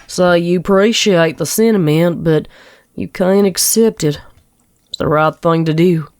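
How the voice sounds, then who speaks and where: A woman's voice: fairly low pitch, unhurried, a little mellow, smooth, dry recording, studio quality, natural voice. A woman voicing a proud, stubborn young farm mare with guarded, folksy speech in a dramatic reading. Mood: disapproving. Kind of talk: dramatic fan fiction reading.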